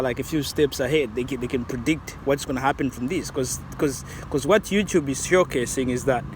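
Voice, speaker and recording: Guy late 30s vlogging outside, kinda high voice, rambles and restarts a lot, faint cars behind. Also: slight background noise. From Zambia. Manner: reflective, rambling, many restarts. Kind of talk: casual vlog commentary.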